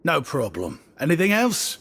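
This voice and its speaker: An actor playing an easygoing young shopkeeper in a video game, with a husky voice and flattering, breezy sales patter. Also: male voice, on the high side, moderate pace, long reverb tail, a little hiss or hum, exaggerated voice. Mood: ingratiating. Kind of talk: animated video game voice acting. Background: music.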